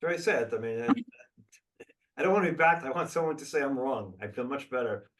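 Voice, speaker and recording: A man's voice: medium pitch, animated, brisk, fairly bright tone, recorded from a distance. A man hosting a video call briefing, answering questions bluntly and thinking aloud with restarts.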